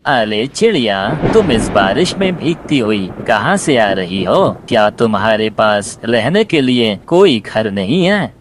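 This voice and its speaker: Guy doing a cartoon mystic, curious, lively up and down voice, noisy background. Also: lowish voice, unhurried, few pauses. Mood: inquiring. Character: male mystic living in a mushroom house. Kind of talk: animated fable dialogue.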